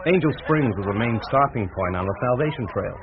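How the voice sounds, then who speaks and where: An actor playing an eager, proud young American small-town reporter in a radio drama, chatty and friendly. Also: male voice, mid-range voice, animated, brisk, a crowd in the background, lightly acted. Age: young adult. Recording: tinny narrowband radio, faint noise.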